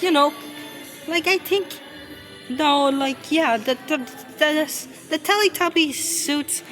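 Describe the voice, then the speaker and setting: A woman giving casual reaction commentary, speaking haltingly and often repeating and stumbling over her phrases. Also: high voice, pushed and bright. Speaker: reaction video host.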